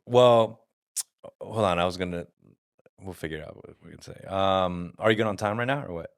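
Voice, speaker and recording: A man's voice: low voice, fairly quick, clean tone, dry recording, studio quality. Laid-back joking male podcast host. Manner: laughs often, jokes, loose interviewer. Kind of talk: casual chat.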